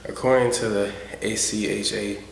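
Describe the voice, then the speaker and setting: A male narrator delivers scripted educational material. His intonation stays flat and even throughout. The recording is echoey, with faint background noise. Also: lowish voice, moderate pace, vocal fry.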